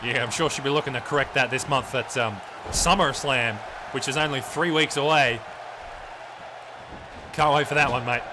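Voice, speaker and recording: A man's voice: medium pitch, normal speed, long pauses, background noise, lightly acted. A man playing a crude, swearing Australian wrestling commentator who rambles in a blokey way over a video game match. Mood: crude banter.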